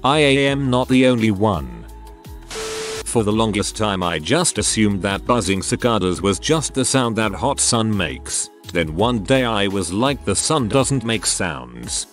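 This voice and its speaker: A man's voice: lowish voice, animated, measured pace, soft background music. A man reading short first-person anecdotes as scripted narration for an online story compilation.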